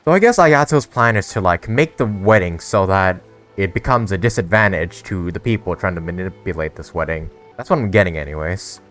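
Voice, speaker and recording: Low-voiced young man, casual gaming commentary. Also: animated, a little fast, fairly bright tone, faint music behind. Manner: slangy, bemused. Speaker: gamer, video creator.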